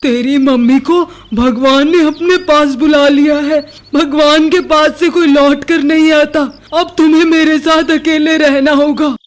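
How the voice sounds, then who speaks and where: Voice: sits high, fairly even intonation, a little slow, hardly any pauses. A performer voicing a male father bird with earnest, melodramatic delivery in a cartoon story. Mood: sorrowful.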